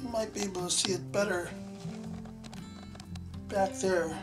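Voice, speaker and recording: A man's voice: high voice, fairly even intonation, a little slow, loud music behind the voice. A man casually retelling a story and explaining his do-it-yourself work, in a plain, rambling, folksy way. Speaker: vlog co-host, handyman husband.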